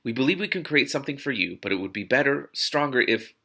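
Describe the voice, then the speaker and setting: Male read-aloud host, bright and creaky, enthusiastic, storytelling delivery with off-the-cuff reactions. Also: medium pitch, lively intonation, fast. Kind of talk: expressive audiobook-style reading with spontaneous asides.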